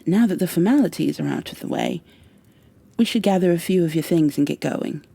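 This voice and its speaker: A woman performs a stern elven warrior woman in an intimate ASMR roleplay. Her voice is dark, husky and on the low side, soft enough to slip toward a whisper. She speaks in formal, old-fashioned phrases, like a commander with little patience for delay. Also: animated, a little fast, dry recording, full character voice. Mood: formal, urgent. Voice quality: soft, breathy, often dropping toward a whisper. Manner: formal archaic phrasing, commanding, clipped impatience. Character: stern, brisk high elf warrior woman rescuing a princess.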